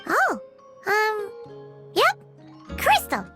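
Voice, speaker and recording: A woman voicing a tiny, excitable, childlike fairy companion in a video game, blunt and cheerful. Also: very high voice, extremely slow, exaggerated voice. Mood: awkward. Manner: speaks of self in third person, exclaims. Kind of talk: video game character acting. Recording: loud music behind.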